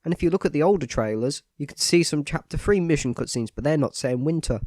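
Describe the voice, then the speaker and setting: A man's voice: a little high, expressive, quick pace, darkish tone, dry recording, clean studio sound. A man with a cold and a stuffy nose, giving a scripted, matter-of-fact voice-over analysis of a video game.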